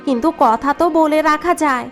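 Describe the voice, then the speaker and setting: A woman's voice: high-pitched, fairly quick, a bit bright, faint music behind. A woman voicing a sly, scheming daughter-in-law in a cartoon drama, coaxing and suggestive. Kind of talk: dubbed cartoon dialogue.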